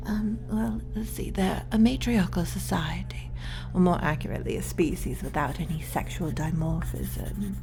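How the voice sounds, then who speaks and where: A woman acting as a shy, bookish woman scientist in a sci-fi ASMR roleplay. Her voice is mellow and soft, often whispery, with a creaky fry. She explains things earnestly and hesitantly, rambling like a flustered academic, over faint background noise. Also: lowish voice.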